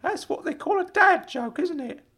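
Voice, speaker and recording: A man voicing a kindly, fussy older uncle in an audiobook story, earnest and excitable. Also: extremely high pitch, moderate pace, pitched above the performer's own voice. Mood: bright, fussing. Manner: excitable, earnest, swooping delivery. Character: kindly older uncle, fussy, caring, fond of dad jokes.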